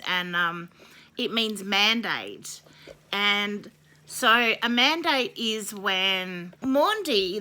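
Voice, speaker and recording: A woman with a slightly croaky voice explaining a holiday in a casual, meandering way, with many ums and self-corrections. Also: mid-range voice, sharp tone. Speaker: video host explaining a holiday. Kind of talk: casual explanatory talk to camera.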